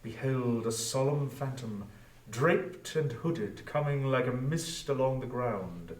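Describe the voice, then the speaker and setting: A man narrating a literary story theatrically, with deliberate phrasing and weight on each listed word. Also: mid-range voice. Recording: echoey room, distant from mic.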